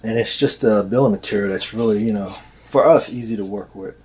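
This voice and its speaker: A man speaking casually and off the cuff as a loudspeaker maker presenting products, in a hesitant, repetitive, halting way. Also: lowish voice, slightly rough. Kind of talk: casual unscripted product explainer. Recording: noticeable background noise, amateur video sound.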